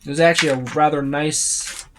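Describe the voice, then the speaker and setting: A man's voice: a little high, level tone, moderate pace, background noise. A man giving a casual, unscripted walkthrough of a book, rambling and often listing items one by one. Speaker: tabletop gaming reviewer.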